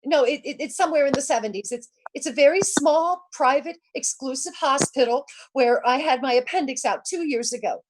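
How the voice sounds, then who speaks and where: A woman's voice: high voice, a little fast, few pauses, noisy background, lightly acted. A woman playing an anxious, demanding, self-pitying invalid wife in old-time radio suspense style, stammering nervously and repeating herself. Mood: agitated, insistent.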